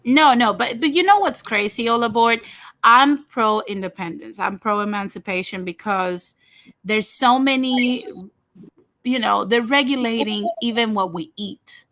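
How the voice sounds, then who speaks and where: Bright-voiced Puerto Rican woman host. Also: on the high side, unhurried, dry recording, poor audio. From Puerto Rico. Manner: talkative, frank, addresses viewers directly. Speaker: host of a livestream talk show. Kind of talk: casual livestream chat.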